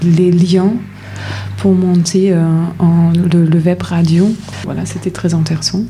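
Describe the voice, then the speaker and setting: Woman, lowish mellow voice, halting delivery, some background noise. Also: flat delivery. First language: not French. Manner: halting, searching for words. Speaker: radio workshop participant. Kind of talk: casual round-table talk.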